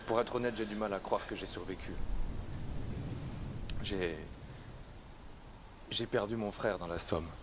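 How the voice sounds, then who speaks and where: An actor playing a reflective, battle-worn French soldier in a game, subdued and matter-of-fact. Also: male voice, fairly low pitch, normal speed, long pauses, background noise, close to an everyday voice. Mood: sombre. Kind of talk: subdued game dialogue in character.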